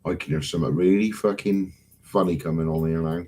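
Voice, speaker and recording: A low, husky man chatting on a live stream. He rambles, swears freely and keeps wandering off his point. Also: sing-song, brisk, darkish tone. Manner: rambling, swears often, loses his thread. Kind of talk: casual live-stream chat.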